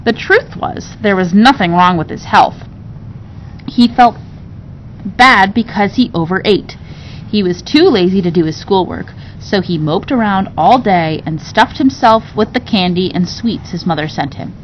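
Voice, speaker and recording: A woman reading a classic novel aloud in an expressive storybook narration style. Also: measured pace. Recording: dull recording with low rumbling noise.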